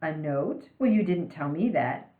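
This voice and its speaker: A woman's voice: deep voice, lively intonation. Storybook principal, female, voiced by a woman reader: stern, decisive, authoritative, in an echoey, muffled room. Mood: displeased. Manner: measured, authoritative.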